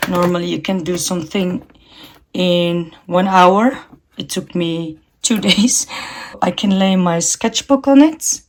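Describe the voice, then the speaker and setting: A woman in her forties from the Netherlands with a Dutch accent, giving a shy, halting, earnest tour of her home art studio. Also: on the low side. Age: 40s. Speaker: vlogger. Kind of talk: casual studio tour chat. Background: guitar music.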